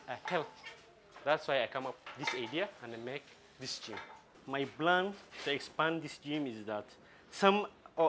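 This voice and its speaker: Young man, halting English. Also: fairly high pitch, background noise. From Somalia. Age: 20s. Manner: halting non-native English with restarts. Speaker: gym owner and instructor. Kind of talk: documentary interview. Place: small corrugated metal gym shed.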